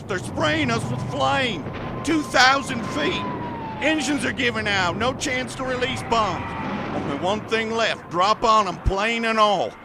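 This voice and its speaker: A doomed bomber pilot, slow clipped reports, high voice, lots of old radio hiss. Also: male voice, full character voice. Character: male bomber pilot diving to his death. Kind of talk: 1930s radio drama acting. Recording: noisy old 1930s radio recording.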